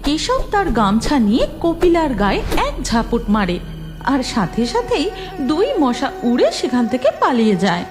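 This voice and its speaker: A woman's voice: high-pitched, huge pitch swings, moderate pace, music in the background. A woman narrating a scripted children's cartoon story.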